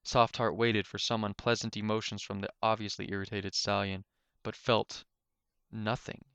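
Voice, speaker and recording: Smooth, low-voiced man narrating a story. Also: little pitch movement, normal speed, fairly bright tone, no echo. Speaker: amateur story reader.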